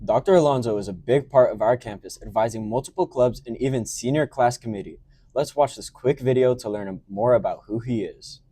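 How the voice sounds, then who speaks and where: Young student anchor guy, husky mellow voice, steady reading, dry sound. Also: medium pitch, a little fast, dry recording. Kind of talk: scripted news reading.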